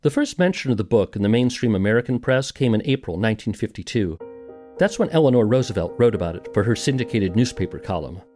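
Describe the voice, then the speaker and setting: A man's voice: on the low side, animated, quick pace, mellow. A man hosting a podcast, reading scripted documentary narration. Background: music.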